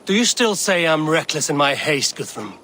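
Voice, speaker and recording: An actor playing a bold, determined young Viking leader in a video game, resolute and formal in speech. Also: male voice, on the high side, sing-song, normal speed, sharp tone, a little hiss or hum. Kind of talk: dramatic video game acting.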